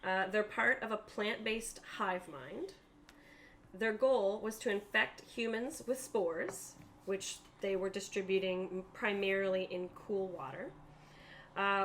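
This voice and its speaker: A woman's voice: medium pitch, fairly bright tone, a little hiss or hum. A woman narrating a tabletop roleplaying game as game master, explaining things in a conversational way.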